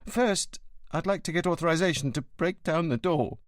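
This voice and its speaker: A man's voice: a little high, normal speed, no echo, studio quality, voice pushed up, lightly acted. A man voicing an anxious, worried grown son whose voice cracks as he pleads. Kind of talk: audiobook character voice.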